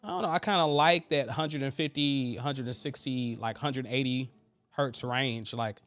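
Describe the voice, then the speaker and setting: Male host, thin but smooth voice, loose and talky, live tutorial feel. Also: mid-range voice, normal speed, fairly bright tone, faint music behind. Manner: loose and chatty, full of fillers and asides to the chat. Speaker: host teaching vocal mixing. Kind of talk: casual live tutorial, chatting with viewers.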